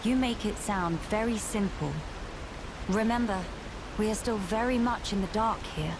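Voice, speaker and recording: An actress playing a composed, thoughtful noblewoman with a formal, measured manner in a video game. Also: medium pitch, noisy background, close to an everyday voice. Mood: cautious. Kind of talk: video game cutscene acting. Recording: music over the dialogue.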